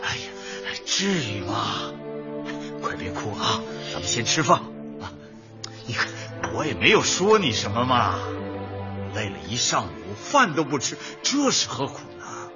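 An actor playing a pedantic, sarcastic older husband who preaches in drawn-out sayings in a radio comedy. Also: male voice, mid-range voice, huge pitch swings. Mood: placating. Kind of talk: radio drama acting, light comedy. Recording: dull audio with music bed.

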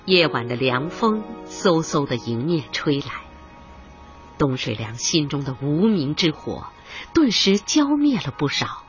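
A narrator delivering scripted dramatic radio narration in a measured, expressive manner. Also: mid-range voice, dull audio, faint music behind.

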